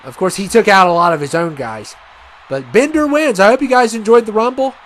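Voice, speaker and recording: A man casually commentating a wrestling video game match, rambling and chatty with many fillers, and he says he has a loud voice. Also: sits high, expressive, fairly quick, a little hiss or hum. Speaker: gaming commentator.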